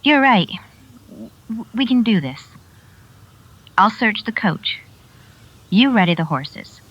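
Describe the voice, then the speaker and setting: A woman's voice: mid-range voice, slow pace, breathy edge, muffled recording, full character voice. A woman playing an anxious, emotional young frontier mother in a western radio drama. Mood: gathering resolve. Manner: emotional, expressive.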